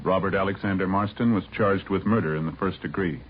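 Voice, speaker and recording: A man's voice: sits low, fairly quick. A man announcing formally from a script in 1950s radio style. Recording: muffled vintage radio with music behind.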